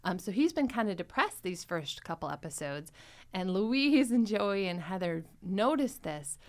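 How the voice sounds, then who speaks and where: A woman hosting a podcast in casual chat, retelling bits in an animated, giggly way. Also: mid-range voice, normal speed. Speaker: podcast host. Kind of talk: casual chat about a comedy drama podcast.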